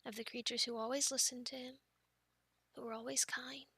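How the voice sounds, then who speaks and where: A woman playing a playful, expressive young friend telling stories in an ASMR roleplay, with breathy touches in her delivery. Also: fairly high pitch, a little fast, no room sound, full character voice. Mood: hushed, solemn. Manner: expressive, teasing, breaking into breathy near-whispers.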